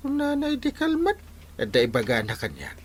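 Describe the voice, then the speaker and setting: An actor with an Ilocano accent playing an excitable, exasperated village captain with a husky voice in a radio comedy drama. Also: male voice, extremely high pitch, normal speed, full character voice. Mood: puzzled. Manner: exclaiming, comically flustered.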